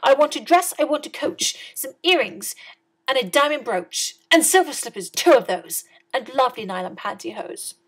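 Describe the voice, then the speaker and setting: A woman voicing a bratty, demanding young Cinderella in a shrill, whiny way for a storybook reading. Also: moderate pace, slightly rough, poor audio.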